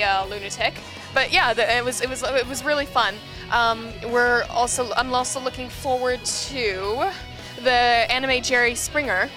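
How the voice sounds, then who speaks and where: A woman's voice: fairly high pitch, poor audio. A young woman co-hosting convention coverage, speaking casually and hesitantly. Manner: hesitant, many ums and restarts. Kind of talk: casual chat and interviewing.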